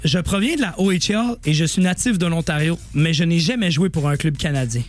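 Quebec man, quiz host, fairly high voice, playful, faint music under. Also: brisk. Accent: Quebec French. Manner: reads clues in first person, playful. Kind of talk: reading hockey quiz clues on a sports panel show.

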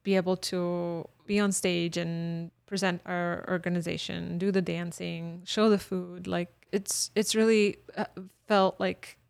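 A woman's voice: lowish voice, little pitch movement, measured pace, creaky, few pauses, smooth, dry recording. A woman in her thirties from Bosnia, a guest chatting casually in an interview, laughing often and restarting her sentences. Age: 30s.

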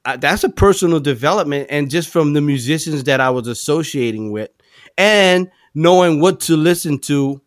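New drummer, bright, dry sound. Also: male voice, on the high side, expressive, normal speed, no echo. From New Orleans. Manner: loose, reflective, drifts between loud and soft. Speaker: drummer guest. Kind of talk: casual interview chat.

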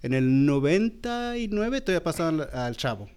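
A man's voice: a little high, big pitch swings, a little fast, darkish tone, clear, resonant tone. A man co-hosting a casual podcast chat, easygoing and agreeable, often chiming in. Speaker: podcast co-host.

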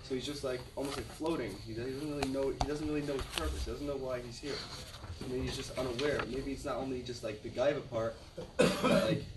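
A man's voice: medium pitch, fairly even intonation, fairly quick. A young man, a yeshiva student, adding a hesitant comment in class with many restarts and fillers. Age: young adult. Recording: echoey room, far from the mic, noisy.

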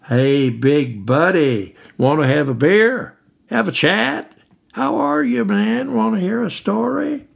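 A rowdy, booming male hiking buddy who can't keep his voice down, as a narrator puts him on. The voice is gravelly with a husky edge. He talks like he's at full phone volume, boisterous and eager. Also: lively intonation, measured pace, full character voice.

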